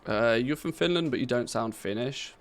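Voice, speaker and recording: A man's voice: mid-range voice, normal speed, faint music behind. A British man chatting casually on a live game stream, reading out and answering viewers' questions with easy laughter. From Britain.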